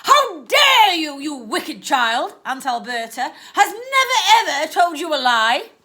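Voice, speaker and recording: A woman voicing a scheming, cruel older aunt who talks loud and clipped, with sickly-sweet baby talk. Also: extremely high pitch, big pitch swings, a little slow, pitched above the performer's own voice, exaggerated voice. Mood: theatrical menace.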